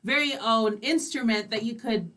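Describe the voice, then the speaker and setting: Lady radio host, higher voice, chatting casually, lots of supportive little agreements. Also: a bit bright. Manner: supportive, quick short affirmations. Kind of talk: casual interview chat.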